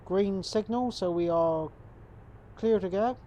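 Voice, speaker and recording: Male gaming host, congested from a cold, warm low-key tone, relaxed running commentary. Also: takes it slowly, slight background noise. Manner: relaxed, chatty, talks to viewers as folks. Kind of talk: casual gameplay commentary.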